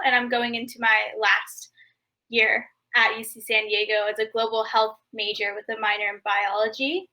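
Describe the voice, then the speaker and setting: Young woman, high bright voice. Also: low-quality recording. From California, United States. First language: Farsi. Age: 20s. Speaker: university student assisting in class. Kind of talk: casual self-introduction and brief replies. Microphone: video call.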